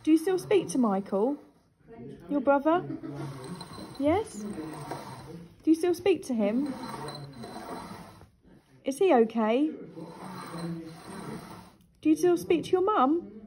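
A high-voiced woman, gently coaxing and repeating questions to an unseen spirit. Her tone is mellow, and she is recorded on a phone in a dark church with a faint hiss behind her. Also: expressive, slow, pauses often. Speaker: ghost hunter. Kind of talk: calling out to a spirit.

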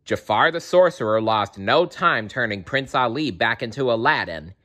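A man reading a children's storybook aloud in an animated style, with playful asides to the listener. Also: mid-range voice, normal speed. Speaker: storybook reader.

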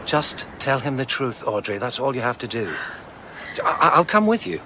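Guy playing persistent earnest sleuth in a radio drama, pitch moves a lot, slight background noise. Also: very animated, moderate pace, natural voice. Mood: gently probing. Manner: earnest, insistent. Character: earnest, persistent male amateur sleuth, questioning and urgent.

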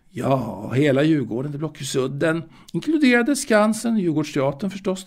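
Male actor as elderly male storyteller, raised pitch, creaky gravelly voice, halting, wistful, musing, audio drama monologue. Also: a little mellow. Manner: halting, musing, with hesitations and repeated words. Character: old man wistfully reminiscing about his 1920s Stockholm boyhood.

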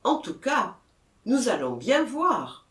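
Audiobook part, read by a man: cheerful village doctor, casual teasing questions, animated intonation, roomy sound. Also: very high-pitched, slow pace, pitched above the performer's own voice, full character voice. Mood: brisk. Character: jovial, breezy male village doctor.

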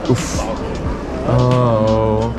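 A man vlogging a night photo walk, excitedly directing a model and remarking on the sights. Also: mid-range voice, extremely slow, over music, crowd noise. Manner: enthusiastic, exclaiming, directing a model. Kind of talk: casual POV photo walk chatter. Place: shopping arcade then city streets at night.

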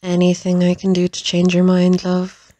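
A woman's voice: fairly low pitch, monotone, moderate pace, dark tone, dry recording, close to an everyday voice. A woman playing a sadistic, flirtatious assassin in an audio drama, with an Irish accent and a playful, taunting manner. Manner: taunting, droll, gleeful. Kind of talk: audio roleplay acting.

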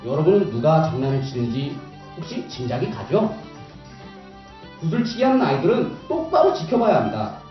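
Lively woman storyteller in an echoey hall. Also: low-pitched, a little fast, far from the mic, faint music behind. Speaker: children's storyteller. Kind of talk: animated read-aloud fairy tale narration. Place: echoey hall at a book concert. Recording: dull, very echoey hall from afar.

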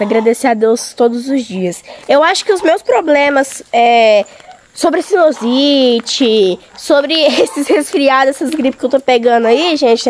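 A young woman, hoarse from a cold, chatting casually and directly to her viewers while walking around a farm outdoors. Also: high voice, a little mellow, slight background noise. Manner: chatty, talking straight to viewers. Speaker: vlogger. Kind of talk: casual vlog chat.

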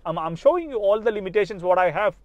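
A man's voice: high voice, animated, quick pace, no echo, dull audio. A man in his thirties from Kerala with a Malayali English accent, a spoken English coach giving an earnest talk straight to the viewer and often restarting his phrases. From Kerala, India. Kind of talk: casual motivational talk to camera. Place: inside a parked car. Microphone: lapel mic.

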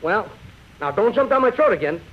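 A wheedling showbiz agent, very high swooping voice, folksy slang, muffled old radio play. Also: male voice, sing-song, brisk. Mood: cajoling. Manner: wheedling, folksy, hesitant when cornered. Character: slangy, fast-talking male showbiz agent, cajoling and evasive. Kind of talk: 1930s live radio drama acting. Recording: muffled 1930s radio broadcast.

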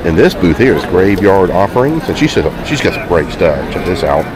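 A man's voice: deep voice, sing-song, fairly quick. A man giving a chatty, enthusiastic walkthrough of a convention hall, filmed on a handheld camera. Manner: enthusiastic, chatty, plenty of fillers. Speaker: vlogger touring a convention. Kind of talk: casual walkthrough commentary. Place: large indoor convention hall. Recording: crowded hall background noise. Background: crowd chatter.

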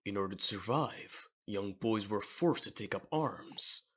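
A man with a husky voice reading scripted dramatic narration for a manga audio drama. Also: on the low side, lively intonation, a little slow, fairly bright tone.

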